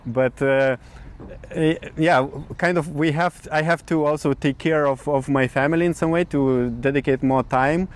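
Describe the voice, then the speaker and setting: Hesitant Lithuanian man, slightly high voice. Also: fairly even intonation, normal speed. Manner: hesitant, searching for words. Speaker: podcast co-host. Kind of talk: casual conversation. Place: outdoors in a city.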